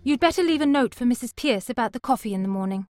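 A tearful, defiant young woman in a storybook reading, as a man puts her on. The voice sits very high and swings widely, shrill and pleading. Music plays clearly behind. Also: expressive, unhurried, fairly bright tone, dry recording, clean studio sound, heavily acted. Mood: sad firm.

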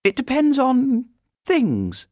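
A young girl as a storyteller acts her chatters in a raised, lively voice with big swings in pitch. She is friendly, eager and reassuring, in a children's storybook manner. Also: a little slow, breathy edge, dry recording, studio quality, full character voice. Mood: earnest, explaining.

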